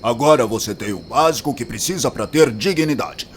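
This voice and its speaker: Actor playing a gruff, blunt drunkard, animated delivery. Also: male voice, mid-range voice, normal speed, a little hiss or hum, lightly acted. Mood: brusque, decisive. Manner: blunt, crude, grumbling. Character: gruff hard-drinking man, crude but protective guardian. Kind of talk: acted audio drama dialogue.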